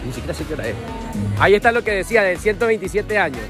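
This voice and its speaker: A man's voice: high voice, sing-song, very fast. A man, chatting jokily to his phone camera outdoors in a casual travel vlog. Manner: chatty, jokey, laughing often. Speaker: vlogger. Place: outdoors at a riverside park. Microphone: phone camera at arm's length. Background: background music.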